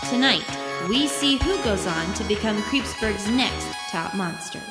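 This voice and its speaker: A woman's voice: expressive, measured pace, close to an everyday voice. A woman voicing a fierce tiger monster who hosts a TV competition, with grand, theatrical delivery. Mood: showy, grand. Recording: booming reverberant hall, music underneath.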